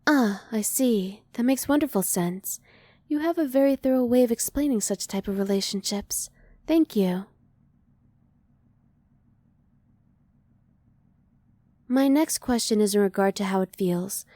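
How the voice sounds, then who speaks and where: A woman playing a curious, emotionally reserved female alien in an ASMR roleplay, soft-spoken, with formal, measured and precise wording. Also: medium pitch, no room sound, natural voice. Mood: thoughtful, polite.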